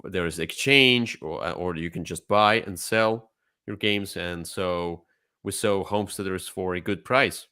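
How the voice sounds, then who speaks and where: A man co-hosting a casual board game discussion, enthusiastic, with halting, repetitive phrasing. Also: low voice, pushed and bright, clean tone. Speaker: co-host.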